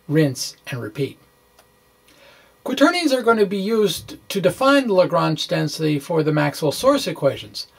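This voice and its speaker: A science blogger gives a dramatic reading of technical writing at a very slow, measured pace. The pitch sits in a middle range, and the phrasing is deliberate and theatrical, loosening into casual, informal asides. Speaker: science blogger reading own blog aloud.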